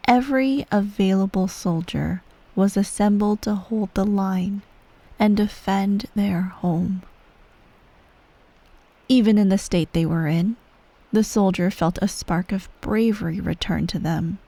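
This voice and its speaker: A cold and manipulative empress , acted by a woman, holds court at a child's bedside in an ASMR roleplay. She speaks in measured, condescending phrases at a middling feminine pitch, often sinking toward a breathy whisper. The sound is dry and intimate, right up at the microphone. Every phrase carries the weight of a stern parent who expects to be obeyed. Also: warm tone, dry recording. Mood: grave, ominous. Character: cold, manipulative female ruler of an evil empire, stern parent. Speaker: voice actor in a roleplay audio. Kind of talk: ASMR roleplay, slow bedtime storytelling.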